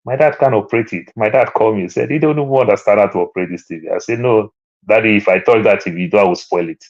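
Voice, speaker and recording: A deep-voiced man with a Nigerian accent shares his views with an online panel. He tells personal stories and lifts his voice higher and louder to stress a point. The sound is dull and lo-fi, as on a remote call. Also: racing. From Lagos, Nigeria. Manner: storytelling, climbs higher and louder when making a point. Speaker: panel speaker.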